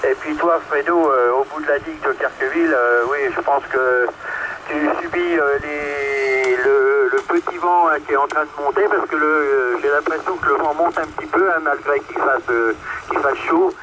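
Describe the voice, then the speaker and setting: A man's voice: on the high side, normal speed. A man with a French accent chatting courteously and casually over CB radio, often repeating his phrases. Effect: CB radio transmission. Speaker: amateur CB radio operator. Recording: noisy CB radio reception.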